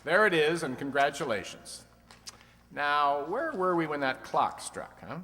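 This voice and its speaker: A man's voice: fairly high pitch, lively intonation, a little slow, pushed and bright. A man playing a sly, teasing host of an old-time radio quiz show, full of dry wisecracks. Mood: upbeat. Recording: long hall reverb.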